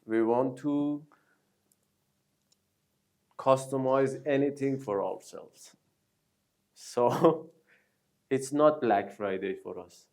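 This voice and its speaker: A man with a Persian accent, a native Persian speaker co-hosting a casual chat, slow and hesitant with many fillers. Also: medium pitch, darkish tone. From Iran. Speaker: co-host.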